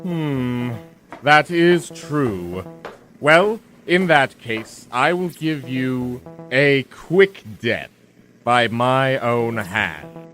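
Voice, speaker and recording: An actor playing a smug, menacing male tyrant of the universe in a comic sci-fi radio drama, with theatrical, drawn-out delivery. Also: mid-range voice, animated, fairly bright tone, faint music behind. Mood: cold, mock merciful. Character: smug male villain, self-proclaimed ruler of the universe.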